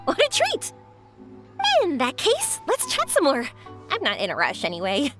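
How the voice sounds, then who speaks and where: An actress playing a cheerful, excitable young fireworks maker in an anime-style video game, chatty and eager. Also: female voice, high voice, normal speed, music in the background. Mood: chatty, hopeful.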